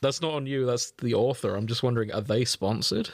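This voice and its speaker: Man, medium pitch, casual comedic podcast chat, joking and riffing, dry close sound. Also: a little fast, creaky, no echo. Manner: joking, giggly, riffing on absurd ideas. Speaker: podcast co-host.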